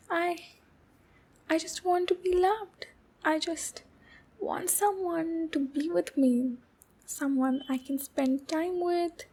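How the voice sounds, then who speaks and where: Woman playing anxious female demon captor, high-pitched, extremely slow, hesitant, soothing and pleading, intimate roleplay ASMR. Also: darkish tone, heavily acted. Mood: tender, yearning. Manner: hesitant, halting, pleading, soothing with pet names.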